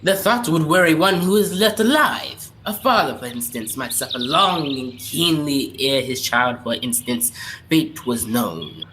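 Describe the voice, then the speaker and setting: A woman's voice: low voice, expressive, measured pace, fairly bright tone, a little hiss or hum. A woman acting a bitter, cursed undead sea captain with archaic, menacing speech in a radio drama. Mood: brooding menace.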